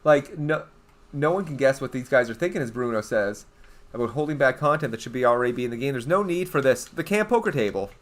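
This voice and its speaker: A young Canadian man talks loosely through a game livestream, rambling from thought to thought and answering his viewers as he goes. His voice sits at a middling pitch, easygoing and conversational. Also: expressive, fast talker. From eastern Canada. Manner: chatty, rambling, reads out and answers viewer chat. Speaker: game streamer. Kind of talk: casual livestream chat.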